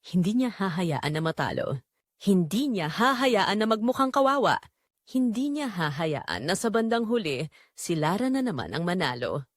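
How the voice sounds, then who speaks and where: A woman with a Filipino accent narrates a scripted story dramatically, in measured, suspenseful short phrases. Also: medium pitch, sing-song, dry recording, studio quality.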